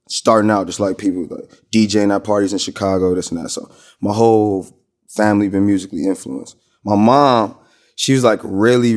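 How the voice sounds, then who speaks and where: Man, American, low voice, podcast guest, casual, slangy storytelling. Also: darkish tone. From Minnesota, United States. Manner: slangy, informal, rambling storytelling. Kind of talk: casual interview chat.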